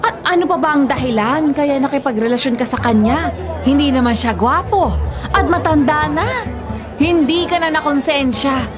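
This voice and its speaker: A woman acting a scornful, bossy older ex-wife in a radio drama. She scolds and accuses in a fairly high voice, with music clearly behind her. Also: moderate pace, a crowd in the background, close to an everyday voice. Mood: angry, scornful. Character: domineering, jealous old ex-wife, scornful and confrontational.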